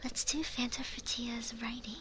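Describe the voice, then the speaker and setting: A young woman from the United States whispering gently into a close microphone as an ASMR streamer, chatting with viewers with small laughs and restarts. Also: on the high side, steady tone, moderate pace, heavy background noise. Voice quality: whispery, soft and breathy. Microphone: close sensitive ASMR microphone.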